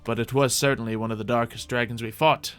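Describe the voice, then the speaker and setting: Male gaming host, mid pitch, lightly in-character with formal fantasy wording, close mic, soft music underneath. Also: fairly even intonation, fast, smooth. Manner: mock-archaic, formal fantasy phrasing. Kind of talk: gaming commentary, thinking aloud in light roleplay.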